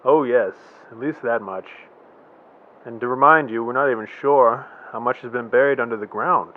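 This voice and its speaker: An actor playing a calm scientist who explains things in a considered way in an amateur radio drama. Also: male voice, medium pitch, moderate pace, natural voice. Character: measured male scientist on the scene. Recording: dull lo-fi sound.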